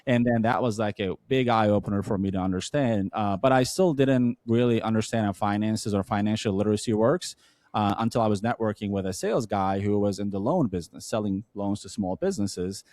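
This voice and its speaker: A Turkish-accented man, not a native English speaker, with a fairly low voice, recorded dry and close. He tells his story as an interview guest, earnest and halting, with restarts and "uh" fillers along the way. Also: darkish tone, no echo. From Turkey. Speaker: podcast guest, entrepreneur. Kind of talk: conversational storytelling.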